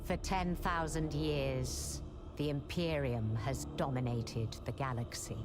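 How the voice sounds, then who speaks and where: An actress playing a grave, devoted Inquisition agent, narrating a dramatic game trailer in a solemn, ominous, deliberate way. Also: female voice, rumbling low. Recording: loud music behind the voice. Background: loud music.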